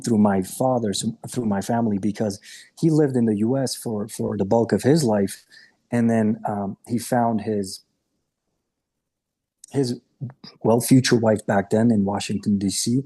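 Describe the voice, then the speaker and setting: A man's voice: mid-range voice, mellow, slightly rough. A man in his late thirties, from Romania and raised in Austria, telling his story as a podcast guest in a candid, earnest way. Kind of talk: casual interview chat.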